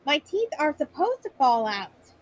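A woman voicing a proud, friendly young bear in a children's storybook, in a high voice. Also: sing-song, a little slow. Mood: proud, matter-of-fact. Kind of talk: children's storybook character reading.

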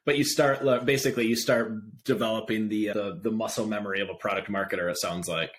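A man's voice: lowish voice, steady tone, fast, slight background noise. A man hosting a podcast in a casual, informal and affirming conversational style. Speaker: podcast host.

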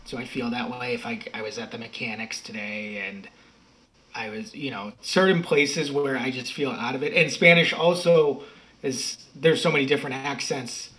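Chatty man with a bright voice. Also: medium pitch, steady tone, normal speed. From United States. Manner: rambling, self-correcting, chatty. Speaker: podcast guest. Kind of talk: casual interview talk.

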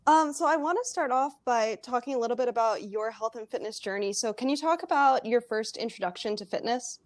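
A woman's voice: fairly high pitch, fairly quick, no echo. A woman hosting a casual interview, upbeat and laughing along with her guest. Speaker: podcast host.

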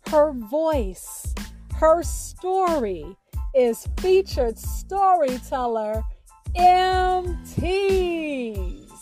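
High, bright-voiced woman announcer, slow and deliberate. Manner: measured, deliberate, drawn-out delivery. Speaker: radio show host. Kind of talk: scripted introduction of a featured guest.

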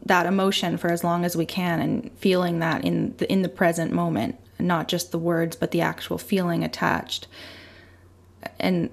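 Gravelly woman host, reflective. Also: fairly low pitch, level tone, normal speed. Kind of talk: casual conversation over video call. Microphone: video call.

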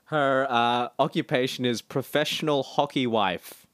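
Joking podcast host, dry sound. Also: male voice, medium pitch, a bit bright, no room sound. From Australia. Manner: joking, laughs often, reads out dating bios slowly. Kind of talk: casual banter.

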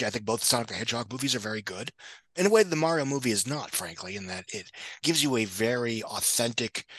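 A man's voice: on the low side, pushed and bright, no echo, rough recording. A man giving casual, opinionated film commentary as a guest, with a wry, blunt way of talking. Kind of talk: casual opinionated movie discussion.

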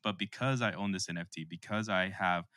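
A man's voice: sits low, brisk, bright, forceful tone, no echo, studio quality. A man interviewing casually as a podcast host, agreeable and affirming toward his guest.

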